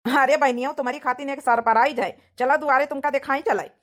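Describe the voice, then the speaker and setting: A woman's voice: high voice, steady tone, fast talker, a bit bright. A woman voicing a devoted, protective young village man in a cartoon comedy, with an Awadhi accent and teasing, boastful manner. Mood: teasing, proud.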